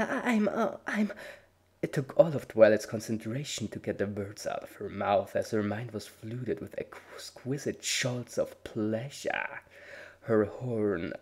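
Male narrator, lower voice, steady dramatic storytelling. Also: husky. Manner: measured storytelling with voiced dialogue. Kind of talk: dramatic reading of fan fiction.

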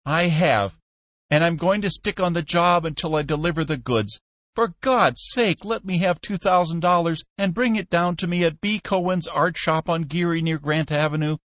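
A man's voice: normal speed, a bit bright, no room sound, close to an everyday voice. A man voicing an exhausted, desperate man who pleads frantically and then rages. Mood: frantic pleading. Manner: frantic, pleading, high-strung.

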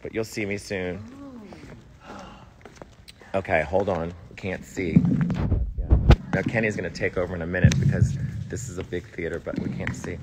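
A man's voice: low voice, fairly even intonation, normal speed, long pauses, heavy background noise. A man in his forties chatting on a phone livestream, brash, profane and sardonic. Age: 40s. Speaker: drag performer livestreaming. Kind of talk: casual livestream chat with viewers. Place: indoor hotel hallway, then elevator. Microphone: phone at arm's length.

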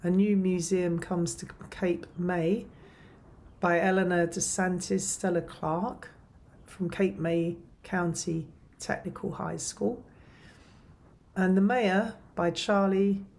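Woman in her 50s announcing nominees slowly over webcam, bit of noise. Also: on the low side, fairly even intonation, vocal fry, a little mellow. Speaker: professor, award presenter.

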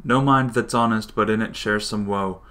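A man's voice: fairly low pitch, fairly even intonation, moderate pace, bright, forceful tone. An actor playing a sombre nobleman who bears dreadful news in a Shakespearean radio drama, solemn and restrained. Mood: grave, reluctant.

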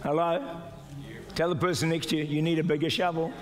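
An older man preaching a sermon as pastor, exhorting the congregation, sometimes shouting and mimicking doubters in a higher voice. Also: level tone, brisk. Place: large church auditorium with stage. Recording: live church hall reverb with music. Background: soft music and congregation responses.

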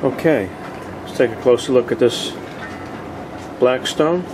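A man giving a casual video tour in short, halting, plainspoken phrases, recorded outdoors on a boat deck with a handheld camera. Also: mid-range voice. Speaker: host of a cooking vlog. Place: open deck of a boat on the water. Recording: outdoor ambient noise.

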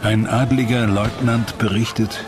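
A man narrating a scripted historical documentary in a measured, dramatic way. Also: lowish voice, steady tone. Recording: dramatic music behind the voice. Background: music.

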